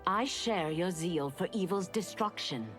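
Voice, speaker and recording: Low-voiced righteous demon. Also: female voice, unhurried, faint music behind. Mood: grave, righteous. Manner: calm, grave, zealous. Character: righteous, earnest female demon warrior. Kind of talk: video game fight dialogue.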